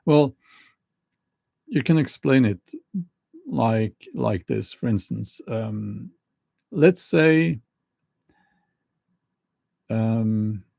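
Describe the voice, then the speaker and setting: A middle-aged man with a Norwegian accent talking casually to camera, slow and halting with many restarts. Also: mid-range voice, lively intonation, vocal fry, a little mellow, no echo, clean studio sound. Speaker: YouTuber.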